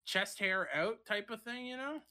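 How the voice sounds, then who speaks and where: A man's voice: high-pitched, animated, normal speed, crowd noise. A young man co-hosting a casual stream, joking crudely and laughing often. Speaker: stream co-host. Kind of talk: casual chat.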